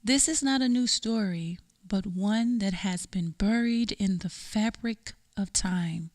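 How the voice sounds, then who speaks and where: A woman reads a poem in a solemn, measured way. Her pace is slow and unhurried, and her pitch sits in the middle range. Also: a little mellow. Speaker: poem reader. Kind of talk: dramatic poetry reading.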